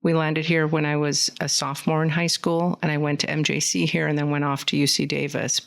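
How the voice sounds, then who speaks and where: Woman with vocal fry, studio interview guest, fluent and earnest. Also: sits low, flat delivery, a little fast. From Central Valley, California. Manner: fluent, earnest, rambling storyteller. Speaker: podcast guest, community foundation leader. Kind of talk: conversational interview. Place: podcast studio.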